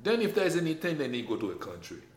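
A man with a French accent talking in a casual conversation, emphatic and animated, his voice rising when he makes a point. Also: on the high side, fast talker. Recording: echoey room, faint background noise.

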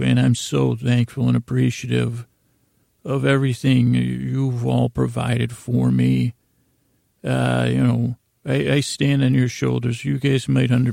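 A man with a heavily creaky, gravelly voice hosts a sleep podcast. His delivery is flat and low-key, drifting from one half-finished thought to the next. He rambles informally, halting and repeating himself, in a soft, mellow tone. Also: dry recording, clean studio sound. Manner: meandering, halting, repeats and trails off mid-thought. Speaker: podcast host.

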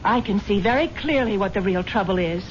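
An actress playing an earnest, wounded rich wife in a 1970s radio drama, speaking with theatrical sincerity. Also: female voice, mid-range voice, animated, a little fast, a little hiss or hum, close to an everyday voice. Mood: reproachful. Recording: dull 1970s radio broadcast, music behind.

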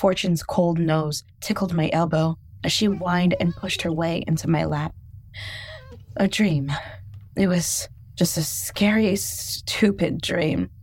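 A woman's voice: lowish voice, steady tone, takes it slowly, a little mellow, a little hiss or hum. A woman narrating a first-person horror story in a tense, intimate way.

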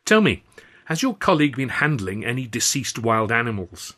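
A man's voice: mid-range voice, very animated, normal speed, sharp tone. A man voicing a perplexed male doctor in an audiobook, formal and inquiring in manner. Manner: formal, puzzled.

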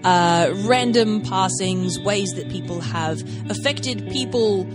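A woman's voice: medium pitch, slow pace. A woman podcast host giving a casual promo in a chatty, informal way. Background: guitar music.

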